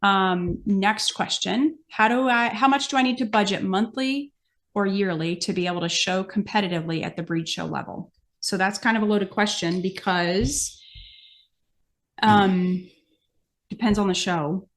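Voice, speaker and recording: A woman's voice: mid-range voice, measured pace. An American woman in a casual podcast Q&A, explaining things in a practical way and thinking aloud as she goes. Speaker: podcast guest host, amateur horse show exhibitor.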